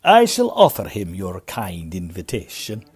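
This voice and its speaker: An actor playing a polite, discreet railway conductor in a Victorian audio farce, deferential and a little hesitant. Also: male voice, low voice, huge pitch swings, unhurried, a little mellow, breathy edge, exaggerated voice. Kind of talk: period farce audio drama acting.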